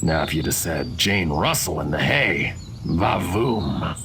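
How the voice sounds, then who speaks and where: An actor with an American accent playing a brusque, wisecracking gate guard in a video game, clipped and offhand. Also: male voice, rumbling low, animated, takes it slowly, exaggerated voice. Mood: dismissive, wry. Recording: noisy outdoor game ambience with birdsong.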